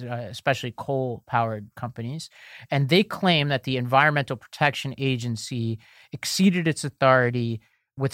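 A man's voice: medium pitch, fairly bright tone, no room sound, clean studio sound. A man co-hosting a political podcast, explaining court decisions step by step and reading ads in a casual tone. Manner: explanatory, walks through legal details step by step. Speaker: podcast co-host.

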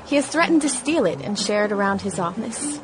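A woman's voice: medium pitch, lively intonation, fairly quick, natural voice, low-quality recording. A woman voicing a young woman writing diary entries at the beach in 1916, in a radio play. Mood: wry. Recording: music bed behind voice.

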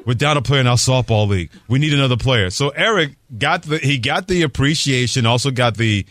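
A man hosting a lively sports radio show, bantering emphatically and repeating words for effect. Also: mid-range voice, a little fast, no room sound. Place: dry radio studio.

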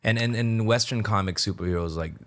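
A man's voice: lowish voice, a little fast. A man interviewing a guest in a casual, friendly way, asking hesitant questions.

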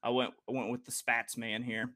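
A man's voice: medium pitch, level tone, normal speed, pushed and bright. A man hosting a horse racing podcast, chatting casually and joking, with many fillers and restarts. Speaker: podcast host and handicapper. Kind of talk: casual chat about horse race betting.

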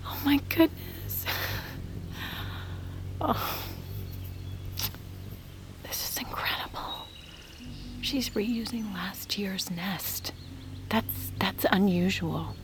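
A woman playing an earnest, worried scientist in an audio drama, with a breathy, hesitant delivery. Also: mid-range voice, expressive, drawn-out words, long pauses, in a whisper. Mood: awed, hushed. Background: music.

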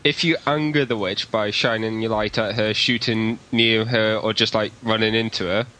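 A man's voice: lowish voice, fairly even intonation, moderate pace, bright, forceful tone, poor audio. A man on a podcast, explaining things in casual chat. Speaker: podcast participant. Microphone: Skype call.

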